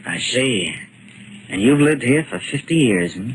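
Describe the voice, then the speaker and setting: Vintage radio thriller: actor as wary young reporter, very varied intonation, informal and halting, slight noise. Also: male voice, medium pitch, expressive, lightly acted. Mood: skeptical. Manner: hesitant, informal, polite. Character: wary, brash young male newspaper reporter. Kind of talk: 1940s radio acting. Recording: noisy old 1940s radio recording.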